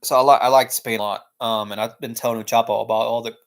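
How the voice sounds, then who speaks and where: A man's voice: mid-range voice, steady tone, quick pace, creaky. A young American man chatting casually on a live stream, answering viewer questions in a rambling, laid-back way full of fillers. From United States. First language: English.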